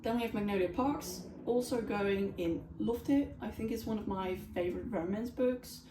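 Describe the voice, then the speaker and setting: A woman's voice: medium pitch, moderate pace, background noise. A woman who speaks English as a second language, chatting casually and candidly to camera as she reviews books. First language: not English. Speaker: book reviewer and vlogger. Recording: roomy echo, distant from mic.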